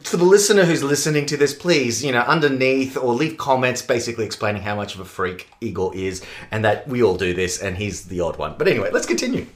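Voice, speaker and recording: A man's voice: medium pitch, animated, fast, hardly any pauses. A man from Australia chatting casually as a podcast co-host, teasing and playful. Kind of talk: casual chat.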